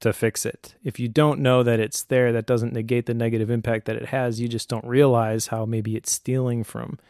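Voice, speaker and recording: A middle-aged man speaks with a warm, relaxed tone in a dry recording. He offers advice conversationally, as a host would, in an earnest and measured way that encourages the listener. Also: medium pitch, clean tone, no room sound. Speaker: podcast host, homeschooling father.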